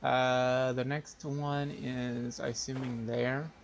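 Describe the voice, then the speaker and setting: A man's voice: mid-range voice, little pitch movement, takes it slowly, slight background noise. A man livestreaming a video game, musing aloud in casual, hesitant commentary. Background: game music.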